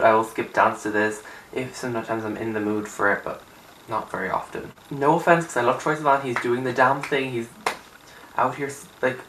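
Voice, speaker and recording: A young man speaks with a raspy, just-out-of-bed voice, rambling and emphatic as he gives his opinions. He sits back from the camera in a small room, so the sound is roomy and a little distant. A faint hiss runs underneath. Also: medium pitch, a bit bright. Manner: chatty, rambling, emphatic with filler "like". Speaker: music vlogger. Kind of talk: casual opinion chat to camera. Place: small untidy room indoors.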